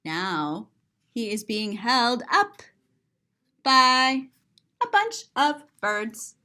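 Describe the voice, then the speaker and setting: Female children's storybook reader, high voice with lively swoops, playful and expressive, describes pictures simply. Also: extremely slow. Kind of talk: animated read-aloud to children, describing pictures.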